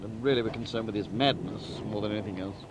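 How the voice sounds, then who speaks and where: Guy w a lowish voice telling a book plot, deliberate n emphatic, pretty noisy recording, sounds like street outside. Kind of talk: spoken plot summary, literary talk.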